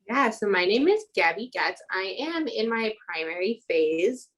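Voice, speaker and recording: Woman, twenties, from Chicago, chatty, lots of fillers, middle pitch. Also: sing-song, measured pace, fairly bright tone. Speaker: student midwife, guest. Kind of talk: casual interview chat.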